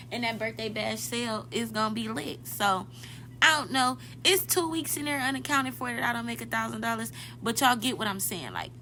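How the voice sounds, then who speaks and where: Bright-voiced young woman, chatty. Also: on the high side, a little hiss or hum. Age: 20s. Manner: chatty, addresses listeners directly, corrects herself mid-thought. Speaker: podcast host. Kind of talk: casual motivational podcast talk.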